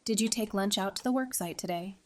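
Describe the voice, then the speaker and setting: A woman's voice: mid-range voice, a little fast, lightly acted. A woman playing a frank, curious survivor in an audio drama, with a wry conversational manner. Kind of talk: fan audio drama acting.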